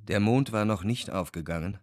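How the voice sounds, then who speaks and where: A man's voice: lowish voice, normal speed, a little mellow, lightly acted. An actor playing a brooding, passionate officer who recounts his adventure in intense radio-play narration. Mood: brooding, hushed. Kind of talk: dramatic first-person literary radio-play narration. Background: music.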